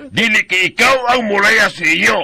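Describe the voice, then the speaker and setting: A man's voice: high-pitched, normal speed, creaky, a crowd in the background. An actor with a Cebuano Filipino accent playing a stern, protective older father in a radio drama. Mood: indignant, commanding.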